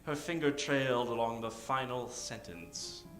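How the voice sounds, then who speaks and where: A man's voice: medium pitch, a little slow, over music. A man narrating an improvised parody of old-time radio drama in a theatrical, melodramatic way. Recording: roomy live stage sound.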